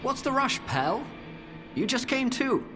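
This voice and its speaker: A man's voice: very high voice, big pitch swings, unhurried, music in the background, full character voice. An actor voicing a wry, teasing male stalker in a video game. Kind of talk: video game cutscene acting.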